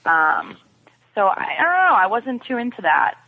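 A woman's voice: medium pitch, very animated, moderate pace, gravelly. A woman giving her opinions in a casual, conversational TV discussion as a podcast guest. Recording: dull phone-like lo-fi connection.

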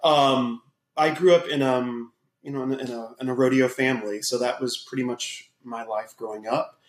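A man from Texas, a podcast guest, telling his life story in a relaxed, casual way with many pauses and ums. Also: medium pitch, echoey room. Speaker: podcast guest, gym owner. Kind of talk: casual interview talk.

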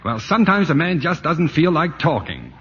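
A man's voice: on the high side, sing-song, moderate pace, a bit bright, a little hiss or hum. An American man playing a jealous, sheepish suburban husband in 1940s radio comedy-drama, with a stammering, flustered delivery. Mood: touchy, jealous. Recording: dull vintage 1940s radio recording.

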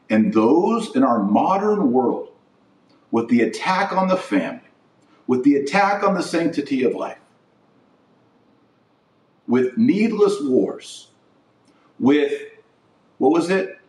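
A male podcast host delivers indignant religious commentary with big, animated swings of pitch. He leans on rhetorical questions and emphatic phrasing. The recording is clearly echoey, with his voice a little away from the mic. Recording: roomy echo, distant from mic.

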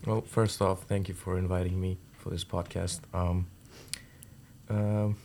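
A man's voice: low-pitched, level tone, a little slow, a little mellow, slight background noise. A young man from India and Zambia, chatting casually as a student guest on a podcast. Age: teens or young adult. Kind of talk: casual interview chat.

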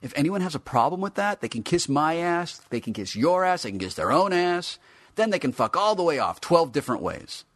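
A man's voice: on the low side, wildly swooping pitch, a little slow, a bit bright, husky, dry recording, heavily acted. A man voicing a brash, foul-mouthed talking action figure of a teenage space cadet, cocky and crude.

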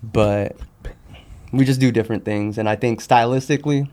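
A man's voice: mid-range voice, steady tone, normal speed, mellow. A man chatting casually as a podcast guest, talking in a candid, slangy way. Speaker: podcast guest, sneaker customizer. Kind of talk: casual chat.

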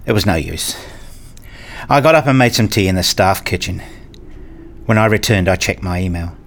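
Low-voiced, frustrated academic played by a man. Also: normal speed, a bit bright. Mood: glum, matter-of-fact. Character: frustrated, restless male academic narrating his own dreary days. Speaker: narrator and lead character. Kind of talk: first-person radio play narration and dialogue.

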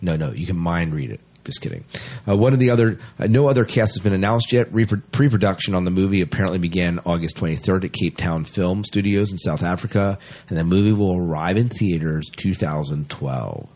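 A man's voice: low voice, a little fast, a little mellow. A man co-hosting a podcast, reading out news and chatting casually with enthusiastic opinions. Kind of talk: casual podcast chat mixed with read-out news.

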